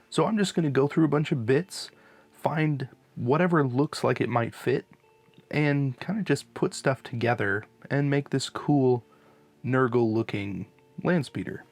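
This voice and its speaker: A man hosting a hobby channel, giving a casual, informal voice-over tutorial in a laid-back manner. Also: fairly high pitch. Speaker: host of a miniature hobby channel.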